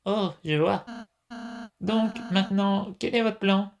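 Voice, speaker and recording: A woman's voice: medium pitch, steady tone, slow pace, vocal fry. A woman as a polite, earnest young adventurer. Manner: courteous, hesitant, careful phrasing. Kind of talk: amateur comic dub acting.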